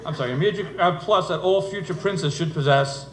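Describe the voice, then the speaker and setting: A man's voice: fairly high pitch, brisk. A middle-aged man giving a humorous wedding speech in a storytelling way, with dry asides, as father of the bride. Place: indoor wedding reception venue. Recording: echoey room, noisy event ambience.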